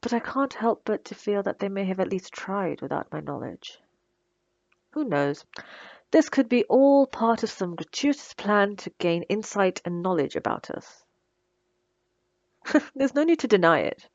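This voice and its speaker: A woman's voice: medium pitch, lively intonation, unhurried, gravelly, dry recording, dull audio. A woman playing a smug, sarcastic female supervillain who taunts her captive with theatrical condescension in an ASMR roleplay. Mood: smug, questioning. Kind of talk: ASMR roleplay audio drama.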